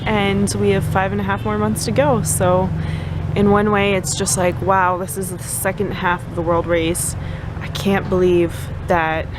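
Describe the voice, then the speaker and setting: A woman's voice: medium pitch, very noisy. A young adult American woman chatting casually to the camera as a vlogger. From United States. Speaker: vlogger on a mission trip.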